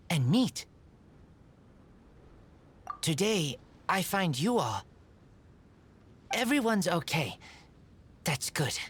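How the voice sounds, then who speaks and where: A woman voicing a gentle, protective game character raised in the wild, speaking in short, broken phrases. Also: low-pitched, a little hiss or hum, heavily acted. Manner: halting, clipped, broken phrases. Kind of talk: scripted video game character dialogue. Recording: music behind the voice.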